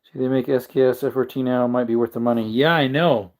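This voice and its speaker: A man with a mid-range voice hosts a relaxed livestream. He rambles between topics, cracks jokes and greets viewers by name as they come into the chat. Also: medium pitch, brisk. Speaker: livestream host.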